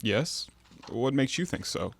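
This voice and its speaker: A man playing a guarded, nervous traveler in an audio drama, speaking in a hesitant, measured, questioning way. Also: mid-range voice, lively intonation, vocal fry. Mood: wary. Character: guarded, nervous, quietly probing male traveler. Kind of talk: stage-play acting in an audio drama.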